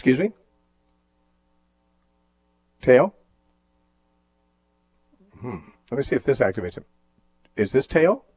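A man hosting a radio call-in show, teaching on the Bible in a measured, thoughtful way with frequent fillers and restarts. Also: medium pitch, very animated, a little mellow, breathy edge, no echo.

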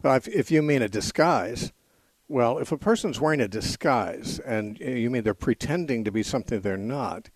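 A man's voice: medium pitch, expressive, slightly rough, no echo. Dry-voiced male radio call-in host. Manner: thoughtful, frequent restarts, briskly cuts callers short. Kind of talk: call-in radio Bible talk. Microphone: studio microphone.

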